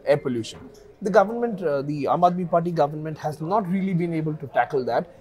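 A man in his thirties, an analyst guest in a news studio interview, speaking in a measured way with many fillers on a lapel mic. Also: on the high side, expressive, darkish tone.

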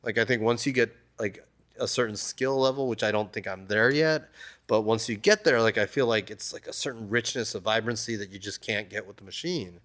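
A man's voice: lowish voice, fairly quick. A man in his thirties, a tattoo artist guest, talking casually and loosely, with lots of "like" and "you know", on a desk mic in a shop. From United States. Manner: relaxed, rambling, many "like" and "you know" fillers. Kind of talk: casual interview talk. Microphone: desk mic on stand.

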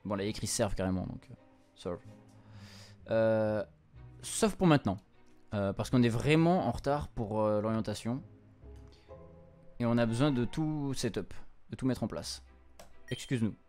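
A man's voice: fairly low pitch, animated, moderate pace, pauses often, darkish tone. A young man gives a casual let's-play commentary at a close mic. He reads and translates game text on the fly, informally and with some stumbling. Game music plays behind his voice. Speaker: streamer, let's-play host.

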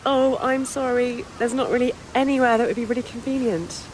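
A woman's voice: a little high, fairly even intonation, brisk, a little hiss or hum, close to an everyday voice, rough recording. An actress playing an eager young British woman on a film crew, in naturalistic radio drama dialogue. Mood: polite, apologetic. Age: young adult.

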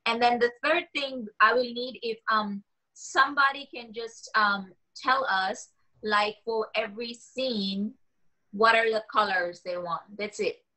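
A woman's voice: on the high side, a little slow, pushed and bright, low-quality recording. A young woman talking casually in an online meeting, making her points emphatically and repeating them. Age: young adult. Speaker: actor at a table read. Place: indoor room, on a video call.

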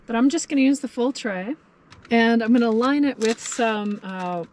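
A woman's voice: on the high side, normal speed, a little mellow. Woman in her 30s chatting while she cooks in her minivan, upbeat, camera in the van, faint outdoor hum behind. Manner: chatty and cheerful, thinking aloud while cooking. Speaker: van camping vlogger. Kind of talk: casual cooking vlog. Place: inside a parked minivan camper. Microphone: camera mounted in the van.

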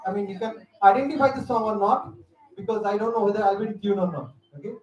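A man's voice: sits high, quick pace, darkish tone. A middle-aged male teacher speaks hesitantly and modestly into a handheld mic on a school auditorium stage, restarting his sentences often. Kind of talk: impromptu remarks at a school event. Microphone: handheld mic, recorded from a far camera. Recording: echoey hall from far off. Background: audience murmur.

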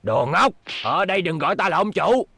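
A man's voice: high-pitched, sing-song, a little fast, vocal fry, bright, forceful tone, breathy edge, dry recording, exaggerated voice. A man voicing a scheming, irritable crime boss in a dubbed cartoon, brusque and impatient. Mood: scheming, irritated. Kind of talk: animated series dub acting.

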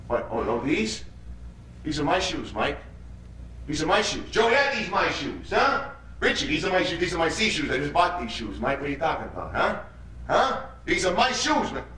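An actor playing a menacing, mocking street gang leader in a theatrical monologue, badgering and repeating himself. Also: male voice, a little high, bright, forceful tone, exaggerated voice. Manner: badgering, repetitive, punctuates lines with "huh?". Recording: echoey room, distant mic, noisy.

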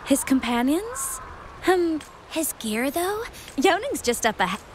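A young adult actress voicing a gentle, anxious young woman in a game cutscene, speaking hesitantly with small stammers. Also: high voice, very animated, takes it slowly, a little hiss or hum, heavily acted. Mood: puzzled. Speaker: game character.

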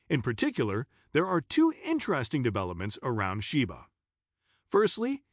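A man reading a scripted explainer narration in a husky voice. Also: high-pitched, no echo, clean studio sound.

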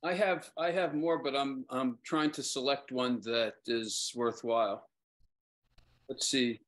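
A man's voice: mid-range voice, fairly even intonation, a little slow, a bit bright. A middle-aged man, a poet, hesitantly introducing and reading his own verse on a video call. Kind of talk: casual remarks and measured poem reading. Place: lamplit room at home, on a video call. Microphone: computer mic on a video call.

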